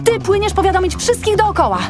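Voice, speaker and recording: Very high-pitched, excitable seal. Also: female voice, fast talker, over music. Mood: urgent, commanding. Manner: bossy, dramatic, exclamatory. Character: headstrong, excitable young female seal heroine. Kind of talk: theatrical audiobook acting.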